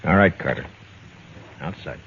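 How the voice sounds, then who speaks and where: A man's voice: very deep voice, unhurried. An actor playing a stern, laconic frontier lawman in a 1950s radio western, speaking in dry, terse, plain words. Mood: threatening. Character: stern, laconic male frontier lawman, tough but fair. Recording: muffled, noisy vintage radio recording.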